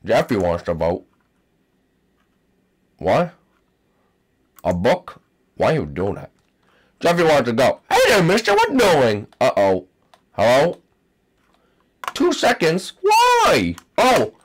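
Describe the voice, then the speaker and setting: A man's voice: mid-range voice, wildly swooping pitch, slow, long pauses, pitched below the performer's own voice, heavily acted. A man voicing a babyish, whiny young boy character in broken baby talk while playing a game. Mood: confused. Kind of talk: gameplay commentary in character.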